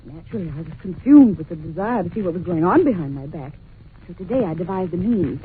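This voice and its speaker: A woman's voice: lowish voice, quick pace, close to an everyday voice. A noisy, narrow-band vintage radio recording carries an actress as a young governess. She is well-mannered but anxious and curious, and speaks with earnest, theatrical expression. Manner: polite, earnest, expressive storytelling. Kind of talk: old-time radio drama acting.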